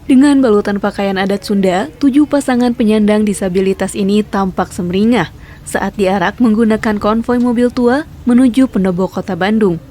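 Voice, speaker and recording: A woman's voice: mid-range voice, dark tone. A female reporter with an Indonesian accent reading a scripted news voice-over.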